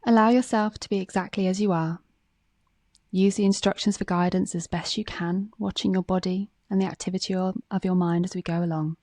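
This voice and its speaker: Female meditation guide, mellow and relaxed with gravelly fry, calm scripted read, close dry sound. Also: mid-range voice, brisk, no room sound.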